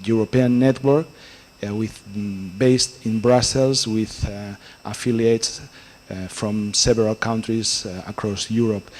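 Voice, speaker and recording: A man chairs a conference session and introduces each speaker in a halting way, full of fillers. Faint background noise runs under his voice. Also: medium pitch, darkish tone. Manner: hesitant, many fillers. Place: conference hall.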